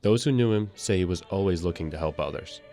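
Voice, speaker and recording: Deep-voiced young male news reporter. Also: fast, dark tone, faint music behind. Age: young adult. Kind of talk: scripted news narration.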